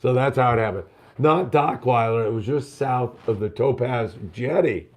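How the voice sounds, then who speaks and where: A man's voice: medium pitch, moderate pace, a crowd in the background. A middle-aged man hosting a live show, telling stories in a casual, chatty way and reading out viewer questions. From United States. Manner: chatty storyteller, reads viewer questions aloud. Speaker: show host. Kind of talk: casual live-stream chat.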